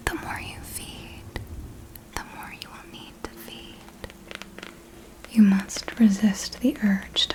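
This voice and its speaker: A woman playing a seductive, possessive, ancient vampire mentor in a breathy ASMR roleplay, whispering with formal, coaxing, deliberate diction. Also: medium pitch, a little mellow, natural voice, low-quality recording. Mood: measured, cautioning.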